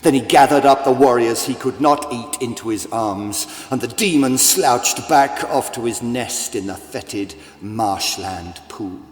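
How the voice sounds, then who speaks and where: Slow, echoing male storyteller. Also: medium pitch. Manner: theatrical, deliberate, with big swells and hushes. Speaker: audiobook narrator. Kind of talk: dramatic scripted storytelling for children. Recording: echoey, long reverb, music behind.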